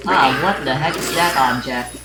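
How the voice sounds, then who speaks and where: Low-voiced woman gamer over loud music. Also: moderate pace. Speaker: game streamer. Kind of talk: casual gameplay commentary. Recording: roomy mic, loud game music. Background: loud game music.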